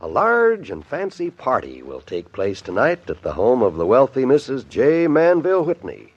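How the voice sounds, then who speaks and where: A man's voice: medium pitch, wildly swooping pitch, normal speed. Brief: man, vintage radio announcer, dull sound over music. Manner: formal, emphatic announcing. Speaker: show announcer.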